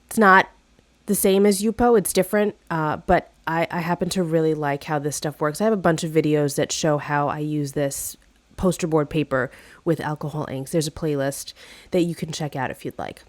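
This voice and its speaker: A woman with a lowish voice walks through a craft project in a casual, chatty way, full of little fillers and restarts. She sounds slightly hoarse from a cold. The recording is dry and close, with no room sound. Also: fairly even intonation, moderate pace, no room sound. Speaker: craft video host. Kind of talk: casual craft tutorial narration.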